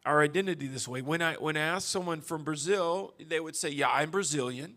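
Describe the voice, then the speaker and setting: A man from Texas preaches a sermon in short bursts with pauses left for his interpreter. His voice sits a bit high, and he talks in a folksy, chuckling way, often stumbling and restarting his words. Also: pushed and bright. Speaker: pastor. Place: church auditorium.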